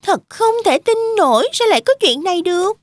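A woman voicing an excitable little kid goat in a cartoon, childlike and eager. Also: very high voice, fairly quick, dry recording, clean studio sound. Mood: alarmed.

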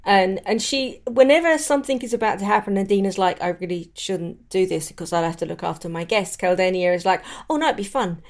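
A woman's voice: medium pitch, fairly quick, few pauses, darkish tone. A chatty woman, hosting a casual, conversational discussion of books. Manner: chatty, giggly. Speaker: podcast host. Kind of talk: casual book chat.

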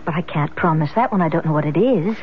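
A woman's voice: low voice, animated, fast, slightly rough. A woman playing an anxious, desperate wife in a 1970s radio drama. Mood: uneasy. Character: anxious, desperate married woman wanting a baby. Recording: thin phone-like old radio broadcast.

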